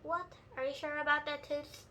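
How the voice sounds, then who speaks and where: A gentle old grandpa, played by a female reader in a high, bright voice, hesitant and mildly doubting. It is storybook reading with faint noise behind. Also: level tone, normal speed. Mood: doubtful. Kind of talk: children's storybook read-aloud.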